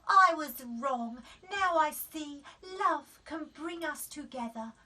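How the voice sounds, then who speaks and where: Mother lioness read by a woman: storybook, tender, humbled, bright animated tone, a bit off-mic. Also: high voice, far from the mic, pitched above the performer's own voice, exaggerated voice. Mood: humble, pleading. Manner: tender, emphatic, slow endearments.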